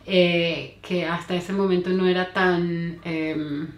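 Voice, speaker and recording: A Venezuelan woman in her thirties, a guest in a casual interview, talking with many fillers, pauses and restarts. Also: on the low side, level tone, reverberant.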